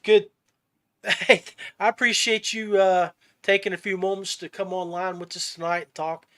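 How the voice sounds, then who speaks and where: High-voiced man hosting a podcast. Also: flat delivery, moderate pace, a bit bright. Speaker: podcast host. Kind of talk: casual interview chat.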